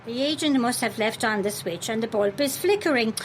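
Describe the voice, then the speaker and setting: A woman acting a brisk, dismissive, sceptical sister in a spooky radio play. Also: fairly high pitch, lively intonation, a little hiss or hum. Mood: impatient, sceptical.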